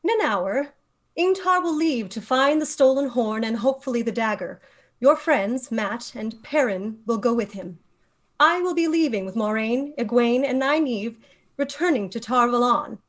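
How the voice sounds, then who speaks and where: A woman's voice: fairly high pitch, expressive, normal speed. A woman playing a commanding, composed leader of a magical order in a screenplay table read. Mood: measured authority.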